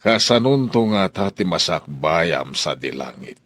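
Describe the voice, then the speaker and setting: A man's voice: deep voice, big pitch swings, a little slow, fairly bright tone, no room sound, heavily acted. A man acting in a radio drama as a patient, mild father who sounds weary of his household, with music behind him.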